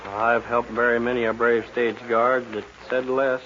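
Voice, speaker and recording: An actor playing a gossipy, wry saloon keeper in a 1940s radio western, with folksy phrasing. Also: male voice, mid-range voice, little pitch movement, normal speed, fairly bright tone, natural voice. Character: gossipy, wry old-West saloon keeper, wary of strangers. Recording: muffled noisy 1940s radio recording.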